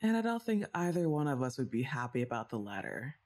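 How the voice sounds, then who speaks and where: A young woman's voice that moves up and down a lot as she speaks, in a teasing, bossy-but-playful way. She sighs and laughs softly between lines while she talks straight to the listener in a scripted ASMR roleplay. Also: very deep voice, big pitch swings, brisk.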